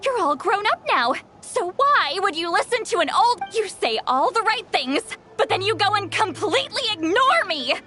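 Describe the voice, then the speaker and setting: Sighing old grandmother, scolding, high lively voice, game music faintly behind. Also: normal speed, few pauses. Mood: exasperated. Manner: nagging, exasperated scolding with sighs. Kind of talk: video game cutscene dialogue.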